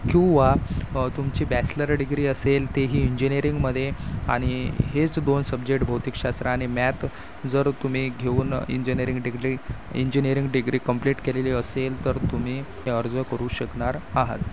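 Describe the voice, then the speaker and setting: A man from Maharashtra presenting an informational explainer to online viewers, reading out details with hesitant pauses. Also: mid-range voice, level tone, background noise. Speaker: YouTube host announcing job openings.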